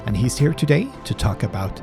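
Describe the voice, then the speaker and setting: Male podcast host, animated pitch, halting and supportive; music audible behind. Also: creaky. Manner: brief encouraging interjections, halting phrasing. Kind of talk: podcast interview.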